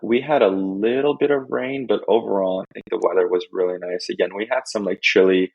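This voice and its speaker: Low, gravelly Russian-speaking man. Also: fairly even intonation, fairly quick, darkish tone, no echo, highs cut off. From Estonia. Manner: rambling, many fillers and restarts. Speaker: podcast guest. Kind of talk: casual interview chat.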